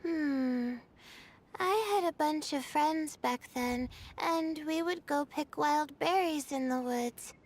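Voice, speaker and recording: A woman playing a wistful, gentle young woman in a video game, reminiscing in an unhurried, soft-hearted way. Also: sits high, a little hiss or hum. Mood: nostalgic. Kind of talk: game character dialogue. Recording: game music behind the voice. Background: background game music.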